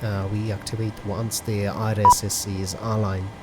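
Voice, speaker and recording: A man's voice: fairly low pitch, little pitch movement, normal speed, heavy background noise. A man hosting a flight simulator video, giving methodical step-by-step commentary in a hesitant delivery.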